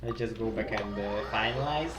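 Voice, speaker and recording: A man giving casual live commentary on a game as a streamer, haltingly repeating phrases as he thinks aloud. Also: lowish voice, background noise. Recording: roomy sound with game music. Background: video game music.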